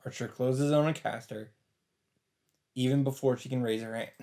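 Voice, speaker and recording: A man's voice: medium pitch, steady tone, normal speed, vocal fry, warm tone. A man doing a let's play, reading visual novel narration aloud with casual, sometimes sweary commentary. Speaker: let's player.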